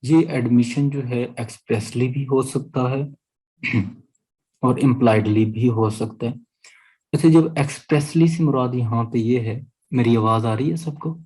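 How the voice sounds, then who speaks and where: Slow-paced Pakistani man with a husky voice. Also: medium pitch, a little mellow, rough recording. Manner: deliberate, checks often that listeners follow. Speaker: law teacher. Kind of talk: online lecture, reading statute and explaining.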